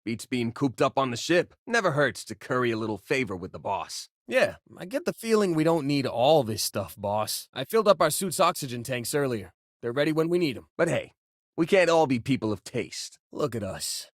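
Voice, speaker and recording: An actor playing an easygoing young space miner in a video game, with a casual, joking way of talking. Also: male voice, medium pitch, sing-song, normal speed, no room sound, studio quality. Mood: casual, wry. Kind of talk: video game companion voice lines.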